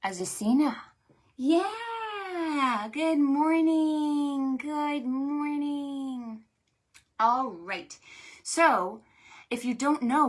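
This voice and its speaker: A woman hosting a preschool storytime, speaking to small children in an animated, sing-song, exaggerated way. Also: medium pitch. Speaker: children's librarian, storytime host.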